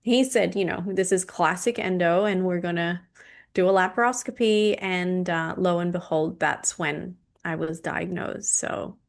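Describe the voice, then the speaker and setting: Measured woman sharing her story. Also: lowish voice, vocal fry. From Indonesia. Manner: measured, with frequent ums. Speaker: guest, clinical nutritionist and naturopath. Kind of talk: podcast interview, personal story.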